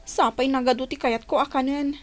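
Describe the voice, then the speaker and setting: A woman's voice: sits high, steady tone, brisk, soft background music, natural voice. A woman with an Ilocano accent playing a whiny, spoiled, plaintive young wife in a radio drama. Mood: whiny craving.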